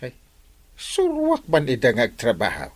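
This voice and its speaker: Grumbling Ilocano village man, wildly swooping pitch. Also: wildly swooping pitch, normal speed, no room sound. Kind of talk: comedic radio drama acting.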